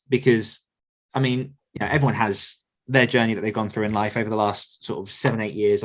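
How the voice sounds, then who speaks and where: A man answering questions conversationally as an author coach, reflective and earnest, often circling back to rephrase himself. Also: on the low side.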